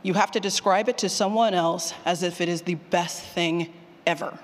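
A speaker giving an informal, animated conference talk on craft in the manner of a former teacher, emphatic and conversational. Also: medium pitch, moderate pace. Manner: animated, informal, emphatic, repeats questions aloud. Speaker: speaker presenting a writing workshop, former college teacher. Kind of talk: conference talk with audience questions. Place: indoor conference session room or hall. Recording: hall reverb on a live talk.

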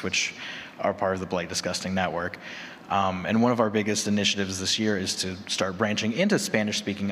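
A man with a fairly low voice moderates a live panel discussion. He talks conversationally, sprinkling in little tag questions. The hall rings around him, with faint noise behind. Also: a bit bright. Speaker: panel moderator and horror podcaster. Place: large conference room with audience. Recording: echoey hall with background noise.

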